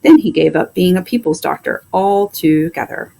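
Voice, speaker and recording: A woman reading a children's story aloud as an expressive storyteller. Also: lowish voice, normal speed, vocal fry.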